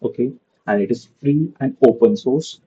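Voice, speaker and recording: A man's voice: mid-range voice, normal speed, mellow, slightly rough, dull audio. A young man giving a casual screen-share coding lesson, padding his talk with "okay" and "so". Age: young adult. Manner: loose, repeats "okay" and "so" a lot. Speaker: programming tutor.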